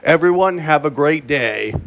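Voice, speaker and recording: A man reading a scripted mock emergency broadcast as an official announcer, halting and repeating phrases as he goes. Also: fairly high pitch, lively intonation, creaky, fairly bright tone, rough recording.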